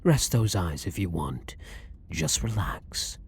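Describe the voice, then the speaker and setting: A man's voice: deep voice, lively intonation, slow, creaky, slight background noise, full character voice. A man playing a blunt, guilt-ridden vampire hunter who is secretly a vampire, in an intimate ASMR audio roleplay monologue. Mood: calm, dominant.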